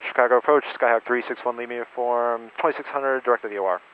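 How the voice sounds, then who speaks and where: Guy instructor pilot rattling off radio calls super quick, muffled headset sound. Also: mid-range voice, steady tone, no echo. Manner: rapid, clipped radio phraseology. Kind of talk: radio calls and casual cockpit chat. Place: small plane cockpit.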